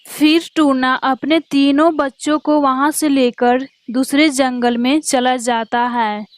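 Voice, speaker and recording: Woman, scripted cartoon story narration, high-pitched, unhurried, dry recording. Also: fairly even intonation, dry recording.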